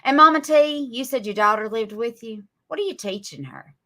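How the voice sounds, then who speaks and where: A woman's voice: on the high side, moderate pace, a bit bright. A woman, scolding and mocking her critics on a live stream in a sarcastic drawl. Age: 40s. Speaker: livestream guest.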